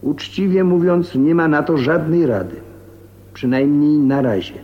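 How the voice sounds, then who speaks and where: An actor playing a formal, blunt heart specialist, reading out a letter gravely and matter-of-factly as a radio drama voice-over, with heavy reverb. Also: male voice, on the high side. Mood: grave, clinical. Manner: measured, grave, matter-of-fact. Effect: long reverb on voice. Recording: muffled, cavernous reverberant radio drama recording.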